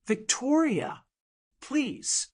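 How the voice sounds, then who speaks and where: A woman's voice: sits high, lively intonation, very slow, voice pushed up, full character voice. A woman voicing a proud, stubborn female executive in her fifties in an audiobook drama, firm and guarded.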